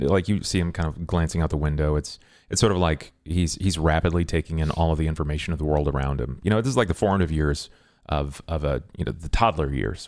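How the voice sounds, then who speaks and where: Very deep-voiced man, reflective and descriptive. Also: a little mellow, dry recording, studio quality. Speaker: tabletop roleplaying player. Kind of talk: improvised character narration.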